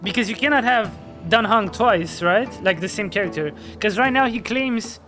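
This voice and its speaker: A streamer chatting casually and excitably while playing a video game. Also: medium pitch, normal speed, faint music behind. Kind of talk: live game commentary.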